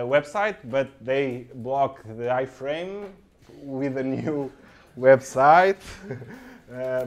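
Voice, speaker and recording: A young man from Portugal with a Portuguese accent, giving a conference tech talk in halting English full of ums and okays, recorded on a handheld mic in a room. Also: medium pitch. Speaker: conference speaker. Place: conference room with projector, indoors.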